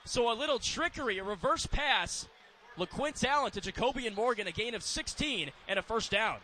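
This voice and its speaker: A man's voice: extremely high pitch, lively intonation, moderate pace, pushed and bright, a little hiss or hum. A man with an American accent giving live radio play-by-play of American football in clipped, descriptive phrases.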